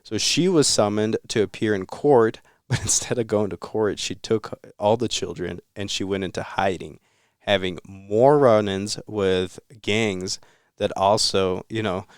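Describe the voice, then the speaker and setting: A man's voice: lowish voice, unhurried, clear, resonant tone, dry recording, clean studio sound. A man hosting a casual podcast, recounting a story in a loose, rambling way with casual swearing. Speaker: podcast host.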